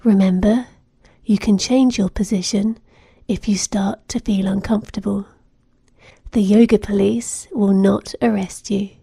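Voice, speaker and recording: A woman narrating a guided meditation in a soothing, gentle manner, giving measured instructions with soft phrase endings. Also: mid-range voice, steady tone, vocal fry, husky, no room sound, clean studio sound.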